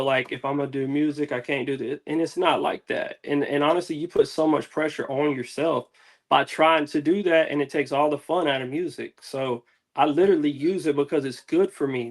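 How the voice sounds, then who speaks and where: A man, a musician guest, talking casually and reflectively in slangy, candid, rambling interview answers. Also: fairly high pitch.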